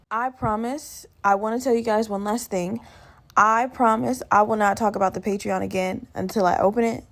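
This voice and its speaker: Woman podcaster just woke up, croaky fry in her voice, rambling casual chat, swears a bit. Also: medium pitch, darkish tone. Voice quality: slightly gravelly morning voice. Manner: rambling, candid, self-deprecating, swears casually. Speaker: podcast host.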